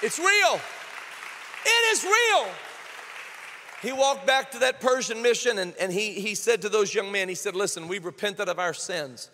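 A male pastor preaching a sermon, moving between quiet storytelling and shouted, fervent praise. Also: sits high, lively intonation, unhurried, big-room sound, a faint crowd, slight background noise.

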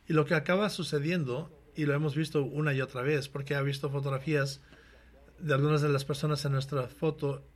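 A man preaching in a church, earnestly urging his congregation and then leading them in prayer, often restarting his phrases. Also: a little high. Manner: earnest, exhorting, with frequent restarts. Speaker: pastor. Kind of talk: sermon with closing prayer.

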